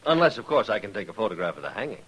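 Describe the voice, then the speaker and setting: An actor playing a smug, callous traveling photographer, glib and mannered, in a 1950s radio western. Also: male voice, on the low side, sing-song, rapid-fire, a bit bright, husky, full character voice, poor audio. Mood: smug, amused. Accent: American. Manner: glib, self-satisfied, mannered.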